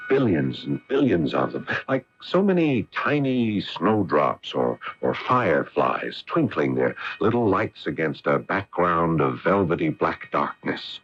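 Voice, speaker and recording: Deep-voiced earnest astronaut commander. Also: male voice, very animated, measured pace, few pauses, breathy edge, heavily acted. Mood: awestruck. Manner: earnest, dramatic, musing delivery. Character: eager male astronaut commander, awestruck and ambitious husband. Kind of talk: 1980 radio drama acting. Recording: dull, noisy 1980 AM radio recording.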